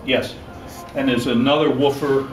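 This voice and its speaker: A man explaining a product in an interview, halting and matter-of-fact. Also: mid-range voice, little pitch movement. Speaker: loudspeaker maker. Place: exhibit room at an audio show. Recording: echoey room, music behind.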